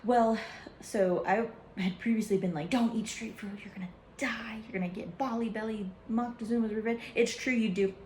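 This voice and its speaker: A chatty young woman rambles through her travel food stories, her talk full of fillers and quick asides. Her voice is mellow and relaxed. It rings a little in an echoey room. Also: a little high, slight background noise. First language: English, some Hindi learned. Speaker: travel vlogger. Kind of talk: casual chat.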